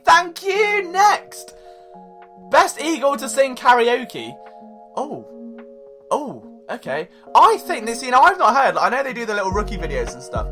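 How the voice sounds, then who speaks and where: A young man with a high voice hosting a solo sports podcast, rambling and excitable, swinging between loud and soft. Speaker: podcast host.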